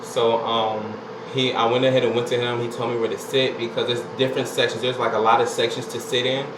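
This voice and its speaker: A man's voice: mid-range voice, level tone, brisk. A man, casually talking through his experience in a rambling, chatty way with lots of fillers. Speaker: vlogger. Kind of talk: casual vlog recounting a singing audition. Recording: echoey room with loud air-conditioning hum.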